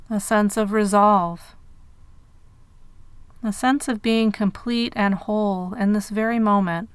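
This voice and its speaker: Female meditation narrator, medium register, very pure resonant tone, dry studio-like sound, measured delivery with space between phrases. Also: mid-range voice, clean tone, no room sound. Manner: measured, unhurried phrasing with pauses. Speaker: meditation guide.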